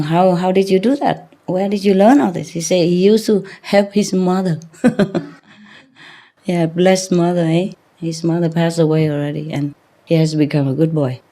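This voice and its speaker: Woman teacher chatting to her group, low mellow voice, rambly and teasing, chuckles a lot. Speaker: spiritual teacher leading a group talk. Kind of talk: casual anecdotes and banter with an audience.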